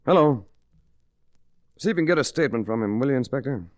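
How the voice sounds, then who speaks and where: An actor playing a cool, blunt, world-weary investigator in a 1940s radio drama, with a clipped, deadpan delivery. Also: male voice, medium pitch, quick pace, dry recording, close to an everyday voice. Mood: brisk, commanding. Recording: restored vintage 1940s radio recording.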